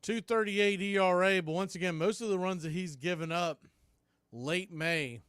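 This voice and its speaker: A man's voice: high voice, normal speed, fairly bright tone, clean tone, no room sound, studio quality. An American man calling live baseball play-by-play in a folksy, partisan home-team style. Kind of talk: live radio baseball commentary.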